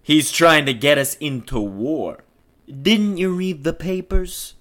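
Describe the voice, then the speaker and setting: A man's voice: a little high, animated, slow, a bit bright, pitched above the performer's own voice. A man voicing an amorous, streetwise 1910s New York policeman with slangy, ungrammatical speech in a play reading. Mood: blustery. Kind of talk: play table read.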